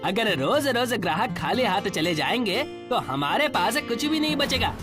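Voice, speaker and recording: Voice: medium pitch, very animated, moderate pace, natural voice. A narrator playing a humble, worried, earnest male South Indian dosa street vendor in a cartoon story, often ending phrases with a respectful tag. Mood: apologetic, earnest. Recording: music behind the voice.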